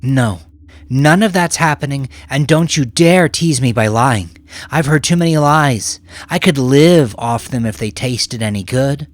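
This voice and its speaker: Man voicing a brash, world-weary woman, pitched up. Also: a little slow, no room sound, natural voice. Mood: angry, defiant. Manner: scornful, brash, mocking. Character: hard-bitten, defiant, world-weary middle-aged woman sex worker. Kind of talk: audiobook character voice.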